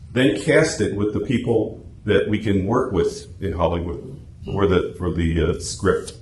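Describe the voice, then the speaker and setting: A man giving a chatty, anecdotal, informal presentation to a club meeting. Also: on the low side. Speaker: club presenter and radio script writer. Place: meeting room, speaking to a small audience. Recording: echoey room with faint noise.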